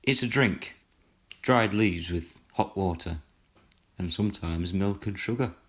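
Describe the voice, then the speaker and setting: An actor playing a weary, solitary retired horror storyteller in an audio drama, speaking in a dry, deliberate, gravelly way. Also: male voice, low-pitched, a bit bright. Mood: dry, polite.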